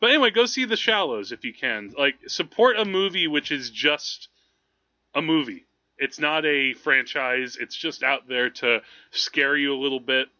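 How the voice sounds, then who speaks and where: A man co-hosting a podcast, chatting casually about films in an animated, digressive way. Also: mid-range voice, moderate pace, dry recording, dull audio. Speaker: podcast co-host. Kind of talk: casual film chat.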